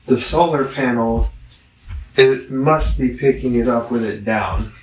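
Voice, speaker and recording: Casual male vlogger, unhurried and rambling review delivery with halting self-corrections, recorded roomy and distant from the mic. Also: mid-range voice, slight background noise. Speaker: amateur product reviewer.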